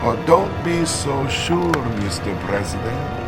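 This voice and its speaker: Scheming Soviet premier, middle-aged, Russian accent, gravelly and highly animated, pompous mock courtesy, campy game cinematic. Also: male voice, takes it slowly. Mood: smug menace. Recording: music underneath.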